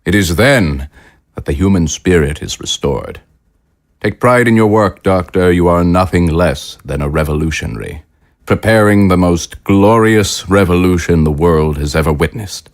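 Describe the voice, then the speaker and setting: Man acting a pompous, deep-voiced government official. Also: normal speed. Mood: grandly fervent. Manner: pompous, oratorical, formal. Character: grandiose older government official, visionary and smoothly menacing. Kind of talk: scripted audio drama acting.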